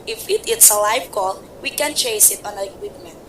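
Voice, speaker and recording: A woman's voice: medium pitch, natural voice. Radio-play role: a formal, rule-bound switchboard supervisor, read by a woman, on a noisy amateur recording. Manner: measured, formal, bureaucratic. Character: calm, officious female telephone supervisor.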